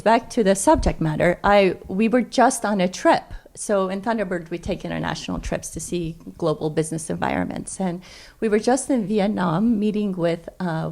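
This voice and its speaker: A woman's voice: fairly low pitch, vocal fry, darkish tone. A middle-aged woman speaking as a guest in a conversational interview, chatty and explanatory, repeating phrases. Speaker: dentist, podcast guest. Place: dining room at home.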